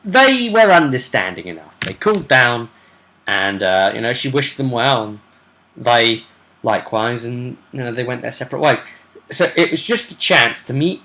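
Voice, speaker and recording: A man's voice: mid-range voice, lively intonation, moderate pace, bright, forceful tone, rough recording. A man telling the story of a role-play session as game master, in an informal, slangy and mildly sweary way.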